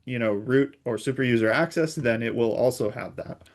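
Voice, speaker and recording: A man's voice: medium pitch, moderate pace. A man speaking as an interview guest, giving informal, conversational explanations as a technical expert.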